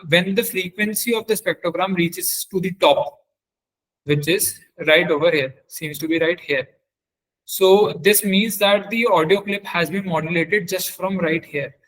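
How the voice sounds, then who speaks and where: A man teaching in a webinar, demonstrating software step by step and often halting to search for words. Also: sits high, rough recording. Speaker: webinar trainer.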